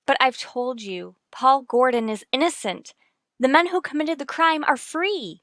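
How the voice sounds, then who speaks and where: A woman's voice: sits high, expressive, unhurried, a bit bright, no room sound, clean studio sound, heavily acted. An actress playing an earnest, determined lady in a radio drama, imploring and insistent. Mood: pleading, determined. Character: earnest, determined young lady pleading for justice. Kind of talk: old-time radio drama acting.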